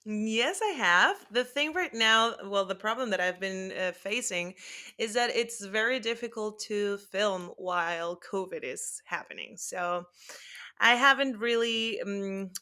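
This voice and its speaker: A young woman with a Mexican Spanish accent, a native Spanish speaker, chatting as an interview guest, animated and laughing often. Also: medium pitch, unhurried. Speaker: guest actress. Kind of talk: casual interview chat.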